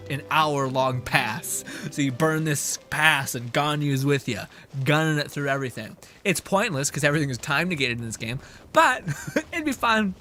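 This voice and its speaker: A man giving casual, sweary live commentary on a game as a streamer, animated and mock-indignant while chatting with viewers. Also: on the high side. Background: game music.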